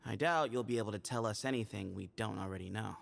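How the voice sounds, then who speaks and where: Cool, gravelly game character, guarded and hushed; faint background noise. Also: male voice, on the low side, quick pace. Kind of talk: game voice acting.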